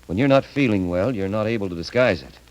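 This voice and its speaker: Actor as deep-voiced, concerned old friend. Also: male voice, expressive, fast, lightly acted. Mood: concerned, probing. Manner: earnest, probing. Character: concerned, blunt, loyal old male friend. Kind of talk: 1930s radio acting. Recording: faintly noisy old radio recording.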